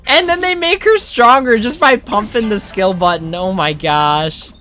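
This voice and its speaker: A woman's voice: medium pitch, unhurried, a bit bright, soft background music. A woman streamer chatting casually and in slang while she plays a game. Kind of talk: casual livestream gameplay chat.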